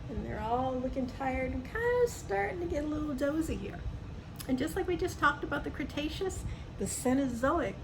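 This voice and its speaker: A woman reading a picture book aloud to young children, adding chatty asides, simple explanations and questions to the listener. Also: high-pitched. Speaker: storybook reader for young children. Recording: clearly noisy background.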